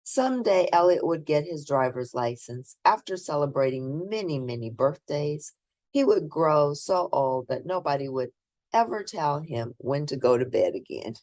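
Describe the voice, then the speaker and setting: A woman reading a children's picture book aloud, expressively, with theatrical, drawn-out emphasis for young listeners. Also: deep voice, expressive, darkish tone, no echo. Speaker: storybook reader.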